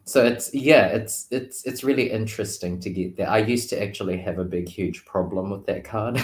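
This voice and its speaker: A man with a deep voice reflects casually on tarot as a guest reader. He thinks aloud, pausing and picking his way through his ideas. The room around him gives a noticeable echo. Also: a little hiss or hum. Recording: roomy, echoing sound.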